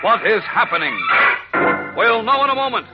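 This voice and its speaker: A breathless 1940s radio serial announcer. His high, urgent narration rides over loud music on a muffled old recording. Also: animated, normal speed. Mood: urgent, thrilled.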